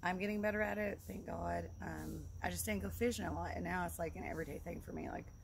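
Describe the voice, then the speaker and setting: Very gravelly, low-pitched woman chatting casually. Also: fairly even intonation, fast talker. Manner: rambling, informal, repeats herself. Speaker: vlogger reviewing fishing gear. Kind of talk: casual product review to camera. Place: outdoors in a leafy yard by a log building. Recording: clear outdoor background noise.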